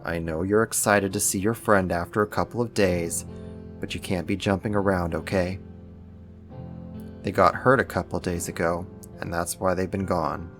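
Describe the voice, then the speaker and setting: A man acting in an ASMR roleplay as a caring, wry young supervillain roommate, speaking softly and conversationally with hesitant pauses and gentle teasing. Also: low-pitched, fairly even intonation, soft background music. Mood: gently firm.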